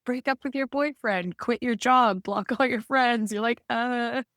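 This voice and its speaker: A woman's voice: fairly high pitch, measured pace, fairly bright tone, dry recording. Upbeat woman in dry, casual chat. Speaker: podcast co-host receiving healing. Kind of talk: casual chat on spiritual topics.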